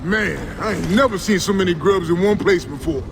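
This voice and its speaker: Game squadmate: rough, gravelly, higher voice, very noisy battlefield recording. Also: male voice, moderate pace. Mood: awed, alarmed. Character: rough, astonished squad soldier.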